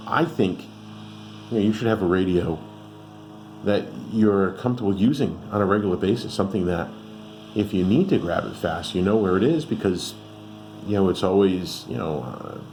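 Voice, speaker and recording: A man's voice: sits low, a little mellow, a little hiss or hum. A man giving a casual, unscripted product review as a host, rambling conversationally and thinking aloud. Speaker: radio reviewer and channel host.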